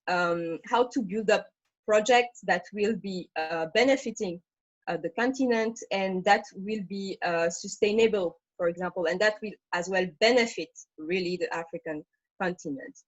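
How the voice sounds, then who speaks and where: A woman's voice: medium pitch, no echo. A woman from Senegal speaking as a panelist in a webinar, talking spontaneously with hesitations and fillers. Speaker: webinar panelist, managing director. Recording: dull, dry video-call audio.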